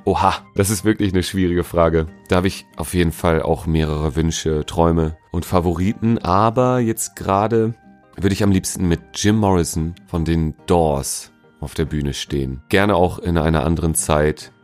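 A man's voice: sits low, normal speed, a little mellow, faint music behind. A man answering interview questions in a casual, informal, laid-back way, as a musician talking about himself. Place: at home.

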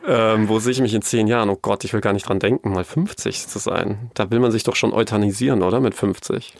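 Casting: gravelly man, 40s, bilingual podcast guest, wry and self-mocking, close dry mic. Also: on the low side, steady tone, quick pace, dry recording. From Germany, Bavaria. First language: English. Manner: self-deprecating, joking. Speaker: podcast guest, lawyer and actor. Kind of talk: casual interview chat.

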